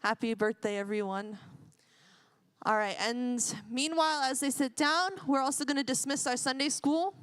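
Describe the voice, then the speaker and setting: A woman emcees a church service, giving brisk, friendly announcements. Her voice sits slightly high. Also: a bit bright, clear, resonant tone. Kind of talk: casual announcement.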